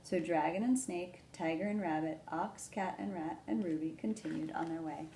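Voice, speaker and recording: A woman hosting a children's storytime, explaining things simply and gently and reading a picture book aloud. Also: sits low, normal speed. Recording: roomy echo, background noise.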